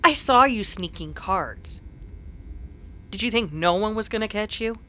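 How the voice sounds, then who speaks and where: A man performs a sassy saloon woman from a western radio play. The tone is bright and raised, with lively swings in pitch. She is bold and scolding, used to getting her way. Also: a little slow, heavily acted. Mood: indignant, scheming. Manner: scolding, assertive. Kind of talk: old-time western radio drama dialogue.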